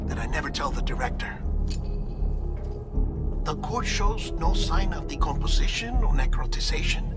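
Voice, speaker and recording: An earnest, secretive colleague in a video game drama. His voice is on the higher side for a man. He talks in a serious, careful, confiding way while music plays clearly underneath. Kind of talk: dramatic video game cutscene acting, recorded message.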